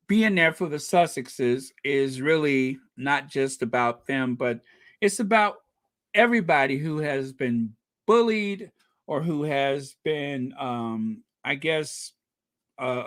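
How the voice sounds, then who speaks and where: Man, livestream host, bouncy melodic delivery, unhurried pace, dry close sound. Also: a little high, no echo. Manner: chatty, animated, laughs often. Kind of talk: casual livestream chat reading viewer comments.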